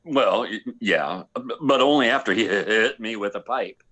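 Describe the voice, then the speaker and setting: A man playing a hapless, insubordinate, hard-drinking slacker space pilot in a comic radio play, with halting, stammering delivery. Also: lowish voice, pushed and bright, breathy edge. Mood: sheepish boasting.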